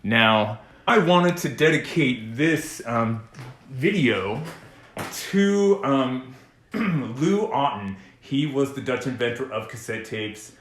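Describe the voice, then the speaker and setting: A man giving a casual, unscripted music gear demonstration, pausing often mid-sentence as he talks. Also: medium pitch, expressive, fairly bright tone, roomy sound. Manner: halting, pausing mid-sentence between phrases. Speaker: host of a music gear demo.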